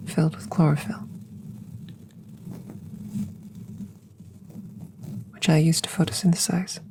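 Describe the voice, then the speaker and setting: A woman voicing a calm, curious plant-like alien scientist in an ASMR audio roleplay, whispering softly and close with precise, formal, detached phrasing. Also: sits low, background noise. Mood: calm, explanatory. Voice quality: soft, breathy whispering. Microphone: close microphone.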